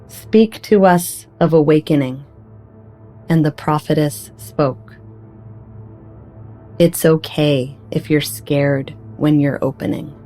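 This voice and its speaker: A woman reading a poetic spiritual meditation in a solemn, measured, deliberate way, as an audiobook narrator. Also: sits low, mellow.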